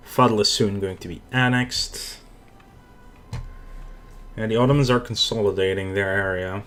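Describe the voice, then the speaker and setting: A man with a Dutch accent, speaking in a somewhat low voice, commentates his own strategy game in a relaxed, unscripted way. He thinks aloud and restarts his sentences as he goes. Keyboard typing clicks away behind him. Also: slight background noise. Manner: thinking aloud, self-correcting. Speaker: gaming channel host. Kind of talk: casual let's play commentary.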